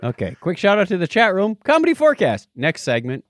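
A man's voice: high-pitched, wildly swooping pitch, brisk, no room sound, clean studio sound. A man hosting a podcast in a casual, playful, joking style. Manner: jokey, playful. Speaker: podcast host. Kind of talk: casual chat, listener shout-outs.

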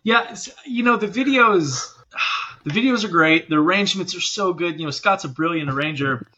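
A man's voice: high voice, moderate pace, pushed and bright, dull audio, slight background noise. A man speaking casually and informally as an interview guest, a working musician talking about his job. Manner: informal, often checking in with "you know". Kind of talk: casual chat.

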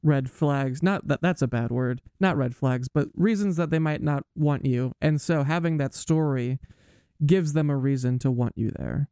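A man's voice: mid-range voice, normal speed, mellow, clear, resonant tone, no echo, studio quality. A man, talking casually as a panelist on a podcast. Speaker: medical student podcast panelist.

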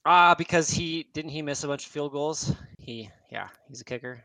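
A man's voice: on the high side, moderate pace, bright, forceful tone, no echo, low-quality recording. A man bantering casually as a podcast co-host, riffing playfully.

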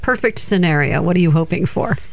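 Female interview host, encouraging and inquisitive, lowish voice. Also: a little fast, vocal fry. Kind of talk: interviewer questions.

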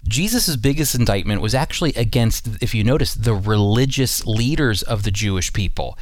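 A man teaching the Bible in an earnest, emphatic and deliberate way, in a sermon style. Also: lowish voice, animated, dry recording, clean studio sound.

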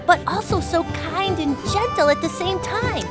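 A woman voices a gushing, earnest schoolgirl in a cartoon. Her high voice rises and falls freely, full of admiration, over prominent music. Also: normal speed. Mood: admiring. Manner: admiring, expressive.

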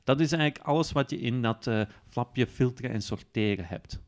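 A man's voice: medium pitch, a little fast. Flemish man giving a casual walkthrough. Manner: informal, explanatory, often tagging sentences with "hè". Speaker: podcast presenter demonstrating an app. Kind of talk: casual step-by-step software walkthrough.

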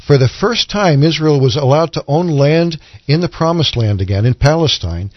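A man co-hosting a radio Bible programme, explaining scripture in an earnest, measured teaching manner. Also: fairly high pitch, lively intonation, dry recording, muffled recording. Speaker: radio Bible commentator and co-host. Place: radio studio.

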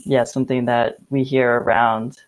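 A woman's voice: very deep voice, level tone, normal speed, poor audio. Casual young woman from Hawaii. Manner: casual, friendly, uses "like" often. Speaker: interviewer. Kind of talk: conversational interview.

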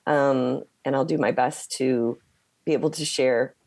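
Hoarse woman, strained voice, halting casual chat, dry close mic. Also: extremely low pitch, steady tone, a little mellow, dry recording. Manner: halting, hesitant with many pauses. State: hoarse, losing her voice. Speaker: podcast co-host. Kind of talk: casual conversation.

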